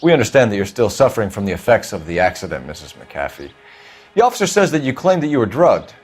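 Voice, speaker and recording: 1940s American detective for a crime game: lowish voice, courteous, firm, measured, faint music underneath. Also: male voice, sing-song, slightly rough. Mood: formal, probing. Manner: formal, measured, insistent questioning. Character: earnest, polite but firm male police detective in 1940s Los Angeles. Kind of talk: video game drama dialogue.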